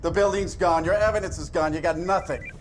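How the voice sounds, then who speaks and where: A man's voice: high-pitched, steady tone, fairly quick, slight background noise, natural voice. An actor playing a hostile male interrogator who talks in a curt, accusing, scornful way. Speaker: second interrogator.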